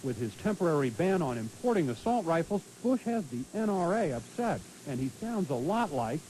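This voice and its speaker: A man's voice: a little high, big pitch swings, normal speed, dark tone, low-quality recording. An American man delivering scripted broadcast commentary on a hissy late-eighties television tape. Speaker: news commentator.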